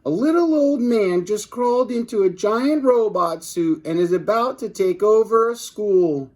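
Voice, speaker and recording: A man's voice: sits high, expressive, measured pace, a little mellow, voice pushed up. A man voicing an earnest little boy in a children's storybook reading. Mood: excited. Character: little boy walking with his mother, earnest.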